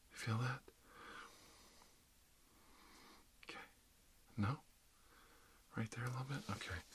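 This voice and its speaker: A man playing a calm, reassuring dentist in an ASMR roleplay, speaking softly and often slipping into whispers. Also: fairly low pitch, natural voice. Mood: soothing, reassuring. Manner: soft, often dropping into whispers, soothing. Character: calm reassuring dentist treating an undead patient. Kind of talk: ASMR roleplay, murmured one-sided dialogue. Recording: clear background noise, clicks.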